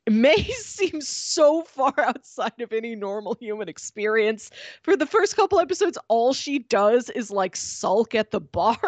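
A woman's voice: a little high, very animated, measured pace, hardly any pauses, dry recording. A woman podcast co-host chatting casually and informally about TV, with a giggly manner. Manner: informal, giggly, peppers talk with "like". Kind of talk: casual chat about a TV show.

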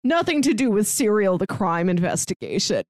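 A woman co-hosting a podcast in casual chat, retelling stories in an animated, acted-out way. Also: medium pitch, moderate pace, a crowd in the background. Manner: animated storyteller who acts out quoted dialogue. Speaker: podcast co-host.

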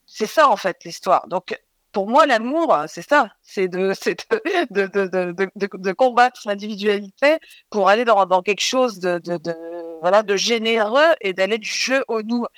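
Francophone woman filmmaker in a radio interview, halting and reflective, stacked fillers, mid pitch, dry close mic. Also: dry recording. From living in Toronto, Ontario. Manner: hesitant, many fillers and repeated words. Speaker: film director.

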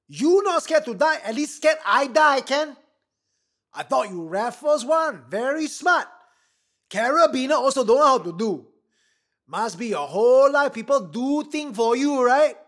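Guy doing a furious singaporean platoon sergeant from a story, raspy barking singlish, pitched way up and loud, scornful bully. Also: lively intonation, unhurried, heavily acted. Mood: furious scolding. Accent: Singaporean, colloquial Singlish. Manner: shouted scolding in broken, clipped English. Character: raging, bullying male army platoon sergeant, scornful and crude. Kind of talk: acted dialogue in a story reading.

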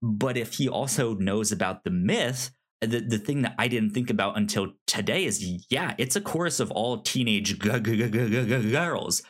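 Halting man discussing film. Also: on the low side, expressive. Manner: halting, stammering, repeats words as thoughts form. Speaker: podcast co-host. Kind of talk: casual reflective film discussion.

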